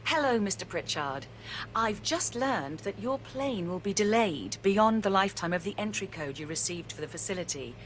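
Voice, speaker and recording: A woman plays a formal, courteous staffer leaving a polite message. Her tone stays measured, with faint game ambience behind. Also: mid-range voice, lively intonation, slight background noise, lightly acted, rough recording. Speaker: game character.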